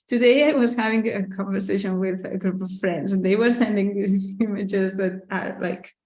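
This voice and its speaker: A woman's voice: medium pitch, steady tone, normal speed, darkish tone. Woman, Catalan accent, native Catalan speaker, casual live Q&A host, chatty, candid, echoey room. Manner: chatty and candid, with frequent "like" and "you know", small laughs. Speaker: artist and business owner hosting a live Q&A. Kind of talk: casual live-stream Q&A, reading viewer questions.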